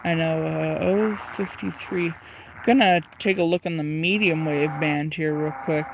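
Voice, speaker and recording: A man with a fairly high voice chats casually while tuning, stopping often on ums and uhs. He thinks aloud at an easy pace. A light hiss sits behind him. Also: steady tone, a little slow, vocal fry. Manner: chatty, thinks aloud, many fillers. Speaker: livestream host tuning a shortwave radio. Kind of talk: casual live commentary.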